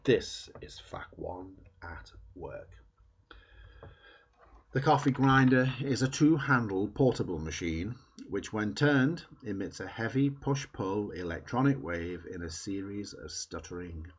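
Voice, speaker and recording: Deep husky man reading aloud. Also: lively intonation, measured pace. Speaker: amateur book reader. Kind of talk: read-aloud nonfiction narration. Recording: dull lo-fi recording.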